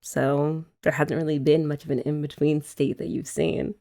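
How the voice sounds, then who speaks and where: A woman's voice: low-pitched, flat delivery, normal speed, dark tone. A young woman chatting playfully to a partner in an ASMR roleplay, teasing and laughing often. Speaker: ASMR creator. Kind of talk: scripted ASMR roleplay as a girlfriend.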